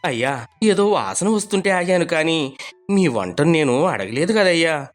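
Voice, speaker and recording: A man voicing a poor, humble village laborer in an animated story, earnest and expressive. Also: fairly high pitch, moderate pace, soft background music. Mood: downcast. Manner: expressive, pleading. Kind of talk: cartoon storybook voice acting.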